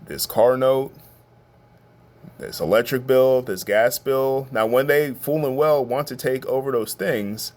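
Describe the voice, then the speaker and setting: A middle-aged man with a deep, bassy voice giving an informal advice monologue, talking conversationally and stressing his points. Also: a little slow, a little mellow. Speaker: podcast host giving family advice.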